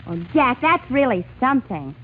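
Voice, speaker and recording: An actress playing a wide-eyed, gushing, easily awed onlooker in 1940s radio comedy. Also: female voice, sits high, sing-song, moderate pace. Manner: gushing, breathlessly impressed. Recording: muffled old radio recording, faint noise.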